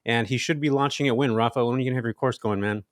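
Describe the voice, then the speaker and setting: A man's voice: mid-range voice, steady tone, very fast, no room sound, studio quality. A man co-hosting a podcast, chatting casually and hyping up the guest with enthusiasm. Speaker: podcast co-host.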